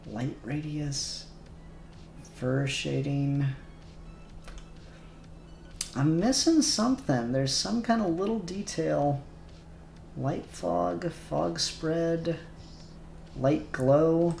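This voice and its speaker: Streamer type, a man with a gravelly voice, chatting while he works, echoey room. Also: on the high side, slow pace, a little mellow, faint music behind. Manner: mutters settings aloud while working, chuckling. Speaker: streamer doing 3D render work. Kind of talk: casual livestream chat.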